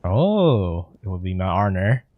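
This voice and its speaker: Low-pitched man chatting over a game. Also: sing-song, unhurried, dry recording, phone-quality sound. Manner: chatty, reacting aloud. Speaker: game streamer. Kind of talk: casual let's play commentary.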